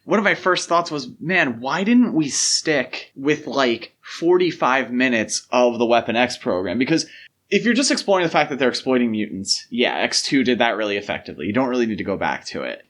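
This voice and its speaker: A man's voice: medium pitch, lively intonation, brisk, fairly bright tone. A man co-hosting a podcast, discussing film casually in an analytical, explanatory way. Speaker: podcast co-host.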